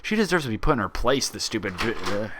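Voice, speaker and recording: A man's voice: lowish voice, big pitch swings, quick pace, fairly bright tone. A man voicing a cowardly, grumbling stallion orderly in a story reading, whiny and nervous. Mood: bitter grumbling. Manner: muttering complaints, stammering when cornered.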